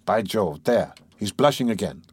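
A man's voice: fairly low pitch, lively intonation, moderate pace, a little mellow, husky, no room sound. A man playing an irritable, scheming young man, sardonic and brooding, in an audiobook of a classic novel. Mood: sly teasing. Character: irritable, scheming young former law student, mocking and anxious.

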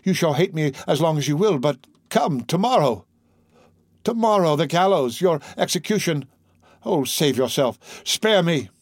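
A man's voice: a little high, animated, a little slow, no room sound, studio quality, pitched above the performer's own voice. A man reading the part of an anguished priest desperately pleading his obsessive love, in impassioned, theatrical declamation. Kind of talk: audiobook character reading.

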